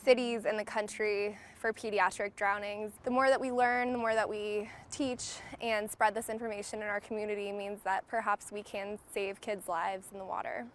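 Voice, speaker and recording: A woman doctor speaks earnestly in a news interview, explaining with small restarts. Her voice sits at an ordinary mid pitch. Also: little pitch movement, moderate pace, few pauses, a little hiss or hum. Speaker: physician interviewed.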